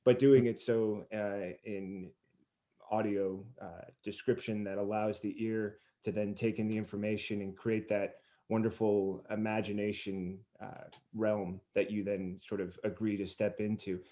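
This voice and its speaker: A man's voice: on the low side, flat delivery, measured pace, dark tone. A man hosting a conversational interview, putting questions in a wordy, roundabout way. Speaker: interviewer, podcast host.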